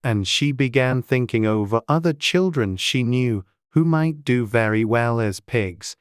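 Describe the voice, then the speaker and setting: A woman narrates a scripted storybook. Her voice is extremely low and her pace is slow and measured. The recording is dry, with no audible room. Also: expressive, no room sound. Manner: measured, unhurried. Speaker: audiobook narrator.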